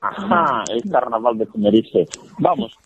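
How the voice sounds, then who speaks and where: A man hosts a lively call-in chat, upbeat and pushing others to join in. His pitch swings widely and he speaks slowly. His voice comes through a thin, tinny phone line. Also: gravelly, a little hiss or hum. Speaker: radio program host.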